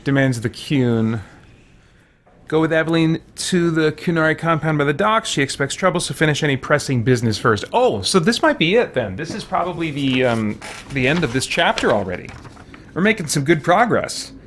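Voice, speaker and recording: A man's voice: fairly high pitch, sing-song, moderate pace, a bit bright. A man hosting a video game playthrough, musing and thinking aloud in casual commentary as if talking to himself. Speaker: video game let's player, host.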